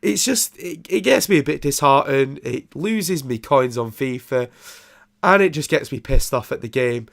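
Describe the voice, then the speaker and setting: Young podcast host with a blocked, nasal voice and plenty of gravel, chatting loosely at an ordinary pitch. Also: male voice, animated, moderate pace, fairly bright tone. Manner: rambling, with many ums and restarts. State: congested and nasal from a throat infection. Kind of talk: casual solo podcast chat.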